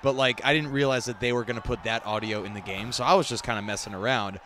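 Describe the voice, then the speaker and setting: A man's voice: mid-range voice, steady tone, fast talker, sharp tone. A man chatting casually and self-deprecatingly as a voice actor reacting to his own video game scenes. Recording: noisy, game audio underneath. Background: video game cutscene audio.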